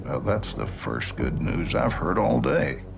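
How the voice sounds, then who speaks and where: An actor playing a gruff, impatient senior American general who talks bluntly and with authority in a military video game. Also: male voice, lowish voice, very animated, measured pace, heavily acted. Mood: brusque. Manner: blunt, brusque, profane, authoritative. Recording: loud music behind the voice.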